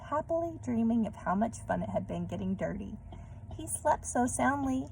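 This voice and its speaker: A woman reading a storybook aloud to children in a gentle manner, pausing to put questions to her young listeners. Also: a little high, creaky, poor audio. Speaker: read-aloud host for children. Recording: background noise.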